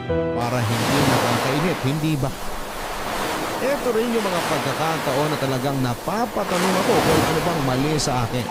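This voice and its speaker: Male love-story narrator over loud music. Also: mid-range voice. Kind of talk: scripted first-person love-story narration. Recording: music playing behind the voice.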